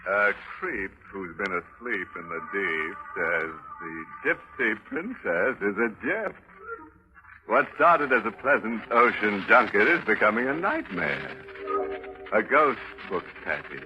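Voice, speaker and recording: A macabre radio horror host, slow and sardonic. He delivers puns with theatrical relish in a lowish voice, on a dull 1940s recording with music behind. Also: expressive, pushed and bright, heavily acted. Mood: gleefully macabre. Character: macabre, wisecracking male horror-show host.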